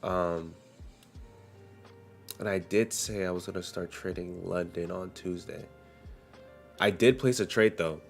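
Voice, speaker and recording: A man's voice: low voice, a little slow, creaky, pauses often, faint music behind. A young man streaming live forex trading, talking casually while getting over a cold and now and then coughing. He goes through the day's economic news and reads headlines aloud in a loose, conversational way.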